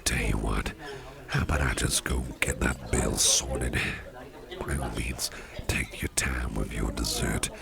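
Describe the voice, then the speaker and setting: A man playing a kindly, protective businessman boss in an ASMR roleplay, speaking in a gentle, reassuring, gravelly murmur. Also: steady tone, unhurried, a loud crowd, full character voice. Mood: warm, gentle. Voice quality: very deep, gravelly, breathy murmur. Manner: gentle, reassuring, softly spoken. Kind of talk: ASMR personal attention roleplay. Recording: clear background noise and ambience.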